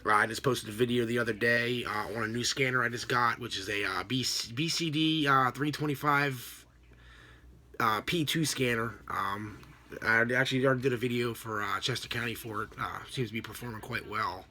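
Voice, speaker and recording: A middle-aged American man talks casually into a computer webcam in a room at home. His voice is bright and forward, at a middling pitch. He explains things off the cuff, halting and rambling as he goes. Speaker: vlogger, radio scanner hobbyist. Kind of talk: casual unscripted explanation to camera. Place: small home room with a desk, indoors. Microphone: computer webcam at desk distance.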